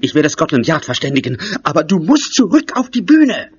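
A man playing a flustered, bossy theatre director with a very husky voice in a radio play. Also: fairly high pitch, sing-song, brisk. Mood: urgent pleading. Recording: muffled studio recording.

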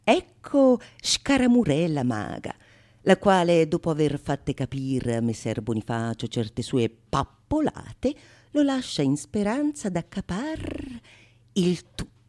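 A woman narrating a comedy in a theatrical, storytelling manner with deliberate emphasis. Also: low-pitched, expressive, a little mellow. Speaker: actress-narrator of a stage monologue.

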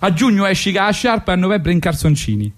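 Man, Roman accent, fairly high voice, radio host, colloquial, ironic comic monologue, rambling, repetitive phrasing. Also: sing-song, quick pace.

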